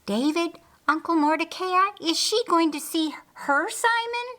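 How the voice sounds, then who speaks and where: A woman voicing a regal, inquisitive and excitable queen in animated audiobook dialogue. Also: very high-pitched, measured pace, voice pushed up, full character voice. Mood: startled, eager. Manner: animated, exclaiming and wondering.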